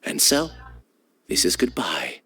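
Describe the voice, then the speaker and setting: An actor playing a calm, soft-spoken male researcher in a video game, resigned to giving up his identity. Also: deep voice, slow pace, breathy edge, exaggerated voice. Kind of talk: game voice acting.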